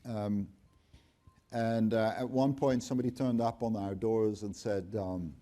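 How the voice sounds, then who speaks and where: A middle-aged man speaks from an auditorium stage into a lapel mic. His voice is mellow and rather low. He explains at an even pace, with dry asides and frequent ums. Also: a little slow. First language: Dutch. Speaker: company CFO, guest speaker. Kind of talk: onstage conversation. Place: auditorium stage before an audience.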